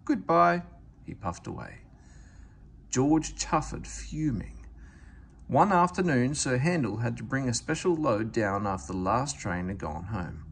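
A man narrates a children's story from a book. His voice sits at a middle pitch and moves in a lively, expressive way. There is faint noise around it, as in a home recording. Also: a little slow. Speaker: storybook reader. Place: indoors at home, book on camera.

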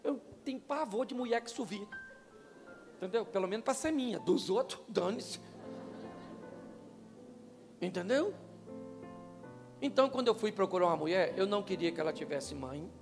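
An older Brazilian pastor jokes with his congregation and keeps asking them questions. His voice sits high for a man and has a gravelly edge. He speaks into a handheld mic in a large hall with a long reverb. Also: normal speed, long pauses. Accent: Brazilian Portuguese. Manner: joking, animated, asks the crowd questions. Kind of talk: humorous marriage talk to couples. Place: church stage, large hall. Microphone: handheld microphone. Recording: live church hall with long reverb and faint music.

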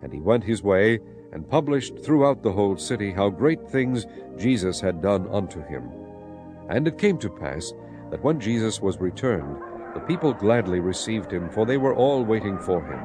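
A man with a low voice narrates scripture in a dramatized style. His intonation is varied and expressive, and quiet music runs beneath the reading. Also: moderate pace.

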